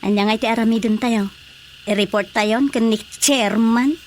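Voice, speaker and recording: An actress playing a gossipy, teasing village council colleague in a radio drama comedy, with a Filipino accent. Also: female voice, on the high side, fairly even intonation, normal speed, lightly acted. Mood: chatty, teasing. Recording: faint background noise.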